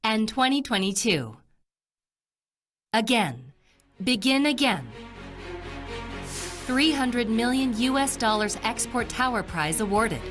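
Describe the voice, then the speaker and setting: A woman reading a scripted corporate video narration in a steady, announcing way. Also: fairly high pitch, slow pace, long pauses, soft background music.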